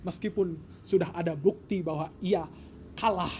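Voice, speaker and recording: A storyteller with a mid-pitched voice narrates a folk tale in a playful, informal way. The delivery is dramatic and loosely improvised, with asides and self-corrections. Faint noise sits behind the voice in a home recording. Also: moderate pace, warm tone. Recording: noisy home recording with ambient sound.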